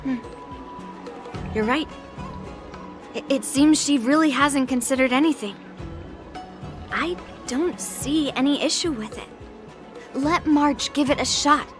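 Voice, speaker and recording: A woman's voice: high-pitched, slow, long pauses, faint music behind. An actress playing a blunt, proud young female sword master in an anime-style video game, frank and no-nonsense. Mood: unbothered.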